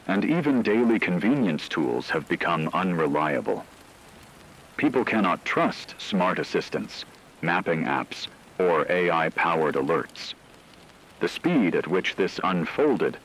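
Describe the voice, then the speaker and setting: A man playing a grave radio news anchor, reading a scripted crisis bulletin in a measured, formal, serious way. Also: low-pitched, fairly bright tone, a little hiss or hum, heavily acted, rough recording.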